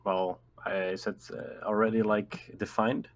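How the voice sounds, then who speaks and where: A man casually chatting as a player in an online tabletop game, laid-back and halting. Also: on the low side, level tone. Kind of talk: casual chat. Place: online voice call.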